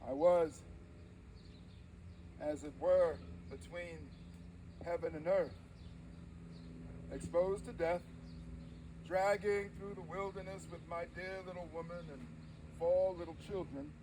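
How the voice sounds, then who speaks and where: A man playing a frail, devout old formerly enslaved preacher in solemn, halting theatrical oratory before an audience. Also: sits high, fairly even intonation, far from the mic, background noise. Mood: anguished, fervent. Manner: solemn, formal, halting oratory with dramatic swells. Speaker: live interpreter. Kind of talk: theatrical first-person historical oration.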